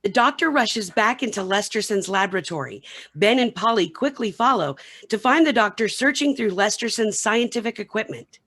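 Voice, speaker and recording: A woman's voice: mid-range voice, lively intonation, moderate pace, fairly bright tone. Woman narrating a radio play script.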